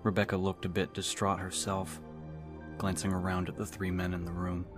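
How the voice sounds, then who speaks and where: A man's voice: deep voice, completely flat delivery, normal speed. A man reading scripted dramatic narration for an audiobook. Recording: music behind the voice.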